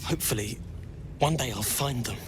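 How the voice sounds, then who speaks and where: A man's voice: medium pitch, background noise, close to an everyday voice. Earnest, timid young alien warrior trainee voiced by a man. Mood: shy, wistful. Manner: hesitant, earnest, halting. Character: earnest, timid young githyanki male trainee, dreamy idealist. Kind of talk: video game voice acting.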